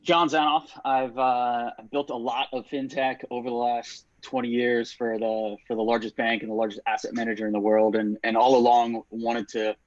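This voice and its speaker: A man's voice: medium pitch, level tone, normal speed, hardly any pauses, dry recording. Guy on a zoom panel, dry muffled audio, straight to the point. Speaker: investor, panelist. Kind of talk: online panel introduction. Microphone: video call.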